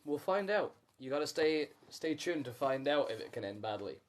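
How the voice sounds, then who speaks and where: A man's voice: fairly high pitch, big pitch swings, moderate pace. A man reading a storybook aloud as host, with chatty asides to listeners.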